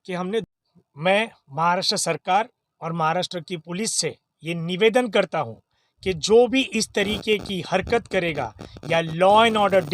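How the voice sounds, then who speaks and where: A man's voice: high voice, a bit bright, no room sound. A man from making an impassioned, exhortative political appeal, emphatic and repetitive, with English words mixed in. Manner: exhortative, emphatic, repeats phrases for effect; mixes English words into speech. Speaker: political activist giving a statement.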